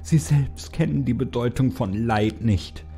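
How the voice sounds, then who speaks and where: A man's voice: medium pitch, measured pace, dark tone, slightly rough, voice pushed up. A man voicing a despairing, drugged Egyptian man in a horror story, bitter, defiant and impassioned. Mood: angry, bitter. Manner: impassioned, raised, bitter delivery.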